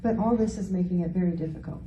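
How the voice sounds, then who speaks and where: A woman's voice: fairly low pitch, quick pace, dark tone. A woman performing scripted reader's theater as one of the readers in a church hall. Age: 50s. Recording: echoey hall from far off, noisy, background sound.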